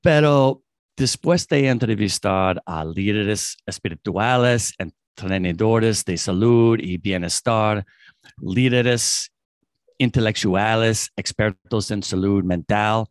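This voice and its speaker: Native English man as interview guest, slow halting Spanish, lowish voice, dry recording. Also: no room sound. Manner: hesitant, halting non-native Spanish with restarts. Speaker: podcast host, guest. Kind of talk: interview conversation.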